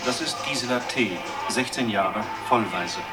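A man's voice: medium pitch, a little slow, natural voice. A man playing a matter-of-fact crime documentary narrator in a radio drama, with clipped, sober scripted delivery. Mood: sober, factual. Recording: distant voice under loud music.

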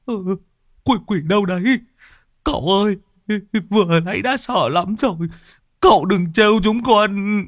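Man as cowardly male henchman, very high-pitched, whiny, stammering, pleading, comic horror storytelling. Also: unhurried, no echo, clean studio sound. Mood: scared pleading. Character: scar-named cowardly male sidekick, pleading, panicky.

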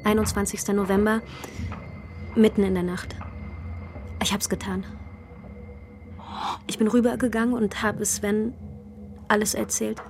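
A troubled, secretive woman played by an actress reads out her diary in a radio play. Her voice is creaky, intimate and deliberate, slipping toward whispers, with faint music underneath. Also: mid-range voice, lightly acted. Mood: shaken, confessional. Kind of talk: radio drama diary monologue.

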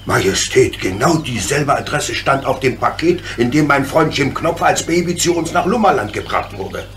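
An actor playing a sensible, hearty locomotive driver with a creaky voice and plain-spoken manner in a children's radio play. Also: male voice, medium pitch, expressive, normal speed, a bit bright, a little hiss or hum, close to an everyday voice. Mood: intent.

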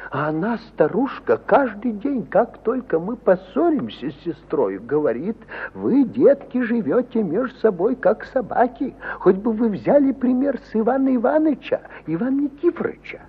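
An actor playing a garrulous, hospitable provincial judge who is easily astonished, in a husky voice, in 1950s Soviet radio theatre style. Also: male voice, full character voice. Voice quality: husky, high-pitched. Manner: rambling, drawn-out, swooping exclamations. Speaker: actor in an audio play. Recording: muffled 1950 radio play recording.

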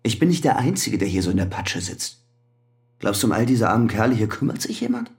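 An actor playing a weary, bitter prisoner in a radio drama. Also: male voice, on the low side, wildly swooping pitch, brisk, vocal fry, a little mellow, heavily acted. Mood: weary, defensive. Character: imprisoned man, weary and bitter. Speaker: prisoner Carter.

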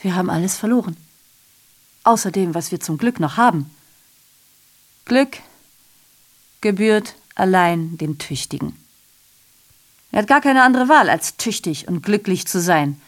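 A woman's voice: lowish voice, expressive, unhurried, pauses often. A woman in a radio drama playing a sardonic messenger who reports on war and ruin with rhetorical questions and ironic asides. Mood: bitter, defiant. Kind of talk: literary radio drama monologue.

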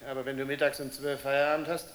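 A man's voice: medium pitch, flat delivery, fast, natural voice. An actor playing a dismissive, grumbling middle-aged father in a radio play. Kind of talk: German radio play acting. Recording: long reverb, faint hiss.

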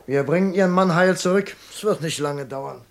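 A man's voice: fairly high pitch, moderate pace, vocal fry. An actor playing a henchman with mocking politeness in a crime radio drama. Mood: falsely reassuring.

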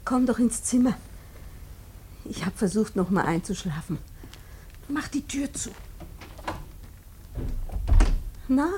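An actress playing a fretful, worried older mother and wife in a German radio drama, speaking in a hushed, anxious way. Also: on the high side, expressive, measured pace, slight background noise.

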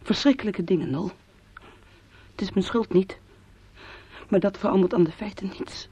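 A woman's voice: mid-range voice, moderate pace, dark tone, husky. An actress in a radio play, playing an anguished young woman who speaks in an intimate, imploring way of love and fear. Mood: pleading. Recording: dull old radio play recording.

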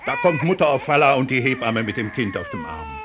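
A man playing a plain-spoken, calming village neighbour in a vintage German radio play. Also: medium pitch, fairly quick, lightly acted. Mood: matter-of-fact. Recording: noisy vintage 1950 radio recording.

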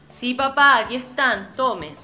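A woman's voice: on the high side, lively intonation, a little slow, bright, forceful tone, soft background music, exaggerated voice. A woman playing an earnest, insistent, status-conscious professional daughter with a Chilean accent, in a radio drama. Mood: affectionate.

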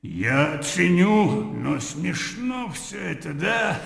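Garrulous old army colonel, sardonic and very gravelly, swinging widely in pitch, radio play. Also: male voice, big pitch swings, very slow, a bit bright, exaggerated voice. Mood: reminiscing, scoffing. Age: older. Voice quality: gravelly, booming. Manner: blustery, rambling, punctuated with interjections. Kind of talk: theatrical radio drama acting. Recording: echoey hall with long reverb, music behind.

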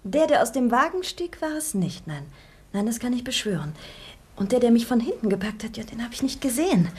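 Radio-play role: a shaken kidnap victim, dark and husky. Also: female voice, a little high, very animated, brisk. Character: shaken young kidnapped woman. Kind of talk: 1960s German radio play acting.

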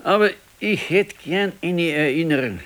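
An actor playing a brooding, stubborn old working-class pensioner and husband with a Bavarian accent in a radio play. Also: male voice, on the high side, a bit bright. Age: older. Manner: slow, ruminating, stubborn. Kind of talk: 1970s naturalistic dialect radio play.

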